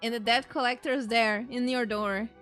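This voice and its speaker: A woman's voice: fairly high pitch, steady tone, moderate pace, fairly bright tone. A woman doing casual, chatty and rambling let's play commentary as a gaming streamer. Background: faint game music.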